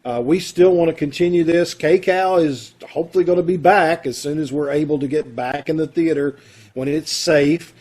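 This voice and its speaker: Folksy man hosting, slightly high-pitched voice. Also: steady tone, normal speed, a little mellow, slightly rough. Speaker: host of an amateur radio theatre troupe's online show. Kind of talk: casual welcoming chat to an online audience. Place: video call recording. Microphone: video call.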